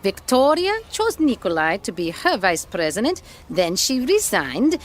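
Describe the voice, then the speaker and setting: An actress playing a concerned, caring schoolteacher in an audio drama, speaking in a measured, earnest way. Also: female voice, medium pitch, big pitch swings. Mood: measured, troubled. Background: background music.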